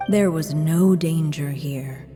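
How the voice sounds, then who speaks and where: A woman playing a solemn storybook narrator in a video game, reading a fairy tale in a measured, grave way. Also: sits low. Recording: long reverb, music behind.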